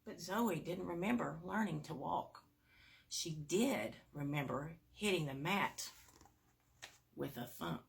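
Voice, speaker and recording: A woman reading a children's bedtime story aloud, with expressive emphasis and little asides to young listeners. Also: low voice, slow, creaky. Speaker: storybook reader at a performing arts school.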